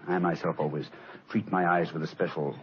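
An actor playing a brisk, confident gentleman detective in an old radio play. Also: sits low, steady tone, lightly acted. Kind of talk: 1940s radio acting. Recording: muffled old radio recording, faint hiss.

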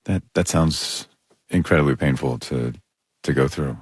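An interviewer asking gentle, sympathetic questions. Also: very deep voice, a little slow, husky, dry recording.

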